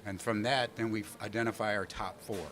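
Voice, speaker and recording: A man from the United States explaining a student competition on a panel, relaxed and halting with many fillers. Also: lowish voice, slight background noise. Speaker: competition organizer.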